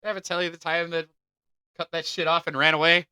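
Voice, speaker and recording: A man's voice: a little high, flat delivery, quick pace, pushed and bright, clean tone, no room sound, pitched above the performer's own voice. A man voicing a drunk old man who brags about the same story again and again. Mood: boastful.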